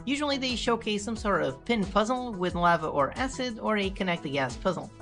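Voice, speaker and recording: A woman's voice: mid-range voice, lively intonation, a little fast, fairly bright tone. A woman narrating a scripted game development video in an explanatory manner, as a host and developer. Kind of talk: scripted tutorial devlog narration. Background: background music.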